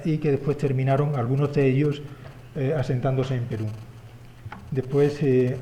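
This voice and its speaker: A man with a Spanish accent delivers an academic lecture in a conference hall, his mellow, warm voice moving at a measured pace. He pauses often on fillers and checks in with tag questions. A faint background hiss sits under the recording. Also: mid-range voice, lo-fi sound. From Spain. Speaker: historian giving a conference talk.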